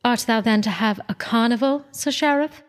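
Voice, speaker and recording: An actress playing a defiant noble captive maiden in an old radio drama with archaic English. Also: female voice, on the high side, normal speed, lightly acted. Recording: long hall reverb.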